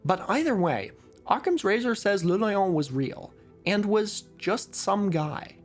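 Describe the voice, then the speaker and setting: Documentary narrator, male, from Oregon; wry, lively delivery over light music. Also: measured pace. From Oregon, USA. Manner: wry, conversational storytelling with lively pitch swings.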